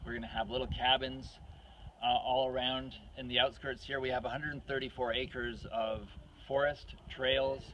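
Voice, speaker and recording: A middle-aged man giving an informal explanation and appeal to camera as a farm owner, speaking in hesitant, pause-filled phrases, recorded outdoors. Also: mid-range voice, flat delivery, dull audio. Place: outdoors on a farm beside a wooden barn. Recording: outdoor camera audio with faint noise.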